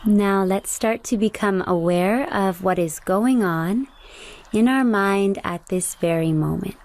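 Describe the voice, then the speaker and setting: A young adult woman leading a guided meditation, speaking slowly and soothingly as she gives gentle instructions. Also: medium pitch.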